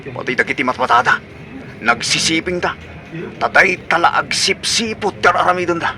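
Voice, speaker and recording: An actor playing a pushy, excitable young son and boyfriend in an Ilocano radio comedy drama, speaking with an Ilocano accent. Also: male voice, a little high, normal speed. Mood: coaxing, sly. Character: pushy, excitable young adult son courting his girlfriend.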